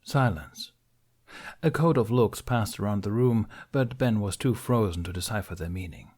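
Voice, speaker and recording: A man narrating dramatic fiction as an audiobook reader, in a measured, solemn manner. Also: lowish voice, a little mellow.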